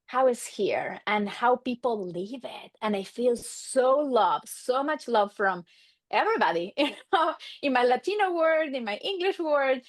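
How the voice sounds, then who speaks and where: Middle-aged woman, Argentine Spanish accent, highish voice, very lively intonation, casual storytelling, interview guest, acts out quotes. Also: unhurried, no room sound. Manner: animated, acts out quoted exchanges. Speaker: guest, marriage and family therapist.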